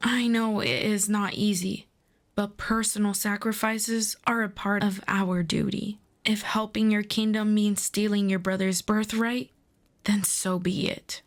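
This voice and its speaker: A woman playing a proud, earnest demon princess in a fantasy audio roleplay, speaking in a formal, deliberate, persuasive way. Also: medium pitch, fairly even intonation, natural voice. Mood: firm, indignant.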